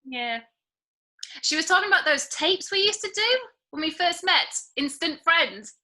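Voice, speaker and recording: A woman's voice: extremely high pitch, lively intonation, unhurried, bright, forceful tone, heavily acted. A woman playing a harried, wry young working mother in a script table read, with sardonic banter. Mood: low-key. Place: remote video call table read. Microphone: video call.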